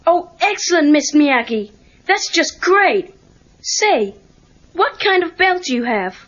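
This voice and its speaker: American teenage boy, high lively voice, eager, sarcastic wisecracker, film dialogue, muffled cassette sound. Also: takes it slowly, heavily acted. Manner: sarcastic, animated, pleading. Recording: dull lo-fi cassette tape.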